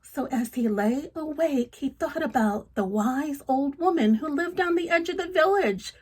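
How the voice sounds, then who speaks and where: A woman reading a children's story aloud, gentle and expressive, chatting to her young listeners as she goes. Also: sits high, unhurried.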